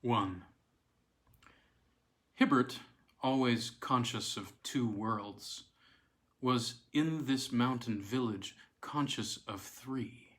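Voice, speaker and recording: A man reading a classic weird tale aloud in a measured, expressive storytelling manner. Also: medium pitch. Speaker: reader of classic weird tales.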